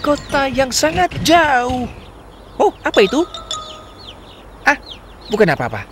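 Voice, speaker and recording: A bright, high cartoon taxi, swinging widely up and down as it chatters. It sounds cocky about the road ahead, and cartoon music plays clearly beneath it. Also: female voice, slow, full character voice. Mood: worried. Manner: lively, expressive storytelling delivery. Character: a taxi car far from home, chatty and overconfident. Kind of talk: cartoon dubbing. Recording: music playing underneath.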